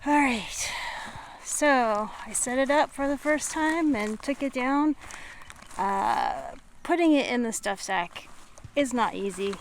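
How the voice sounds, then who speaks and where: A woman chatting casually to viewers in an unscripted, musing way with trailing pauses, as a hiking vlogger recording outdoors. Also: sits high, expressive. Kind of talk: casual vlog chat to viewers. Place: outdoors, on a walk and at a tent setup. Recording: noisy outdoor camera recording with natural ambience.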